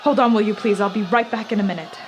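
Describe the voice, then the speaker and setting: A woman playing an on-scene radio news reporter in a radio play, giving dramatic, halting eyewitness commentary in growing horror. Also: medium pitch, a loud crowd, lightly acted. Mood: shaken. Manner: dramatic, halting, with pauses and repeated words.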